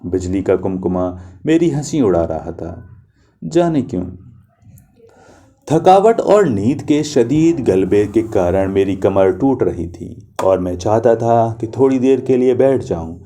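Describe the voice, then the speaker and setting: Man reading a story in a dark, low voice. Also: sing-song, a little slow.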